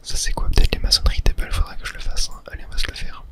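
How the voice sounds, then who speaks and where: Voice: racing, sharp tone. A host whispers casual gaming commentary for ASMR, thinking aloud in a murmur with little filler words. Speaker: host of a gaming video series.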